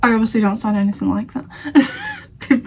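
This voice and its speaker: Young northern English woman, Lancashire accent, slightly husky and higher-pitched, chatty reaction vlogger who laughs a lot. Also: wildly swooping pitch, normal speed, a little mellow. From Lancashire, England. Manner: chatty, laughs often, playfully indignant. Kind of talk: casual reaction chat to camera.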